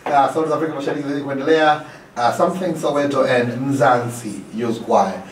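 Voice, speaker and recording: A man's voice: mid-range voice, fast, a little hiss or hum. A man with an East African accent hosting a radio show, stretching his fillers and repeating phrases for emphasis. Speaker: radio host. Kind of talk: radio show talk mixing English and Swahili. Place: small radio studio. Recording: roomy echo, distant from microphone.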